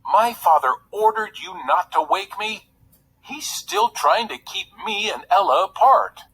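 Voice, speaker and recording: Pampered young storybook prince, fussy and easily frustrated, animated pitch swings; performed by a male reader. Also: unhurried, vocal fry, slight background noise, heavily acted, rough recording. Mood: indignant.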